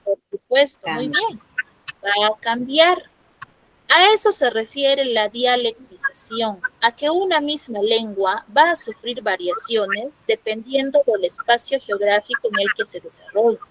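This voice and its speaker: This is a Peruvian woman teaching a class over a video call. Her voice sits fairly high and sounds close and dry, with no room around it. She explains patiently and keeps asking her students if they follow. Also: lively intonation, a little slow, fairly bright tone, no echo, low-quality recording. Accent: Peruvian Spanish. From Peru. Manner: explanatory, checks in with frequent "¿no?". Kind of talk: online class lecture. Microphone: computer microphone on a video call.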